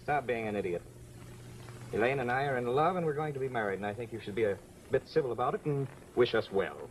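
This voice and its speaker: A man's voice: medium pitch, quick pace, close to an everyday voice. An actor playing a male stage actor in an old-time radio drama, with polished dramatic delivery. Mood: smug, dismissive. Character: suave, condescending male stage actor, later ailing. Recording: noisy old radio recording.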